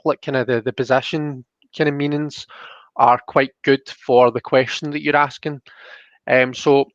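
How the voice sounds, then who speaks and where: A man's voice: mid-range voice, fairly bright tone, no echo. Man with dull, lo-fi sound. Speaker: host. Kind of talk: casual livestream tarot reading chat.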